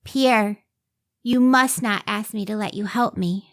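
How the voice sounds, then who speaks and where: A woman's voice: mid-range voice, unhurried, no echo, studio quality, close to an everyday voice. A woman playing an earnest, modest scientist and devoted wife in an old-time radio drama, careful and emotive. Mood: tender, pleading.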